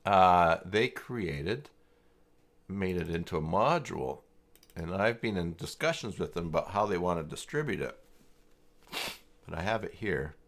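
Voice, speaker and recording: Slow, low-voiced man thinking aloud. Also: expressive. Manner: halting, thinking aloud while working. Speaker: livestream host and web tutorial presenter. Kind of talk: casual unscripted screen-share tutorial.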